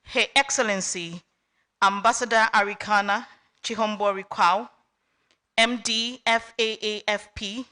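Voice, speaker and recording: A woman reading an honorary degree citation at a university ceremony in a measured, deliberate way. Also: mid-range voice, fairly even intonation, sharp tone. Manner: measured, deliberate list-by-list delivery. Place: university lecture hall.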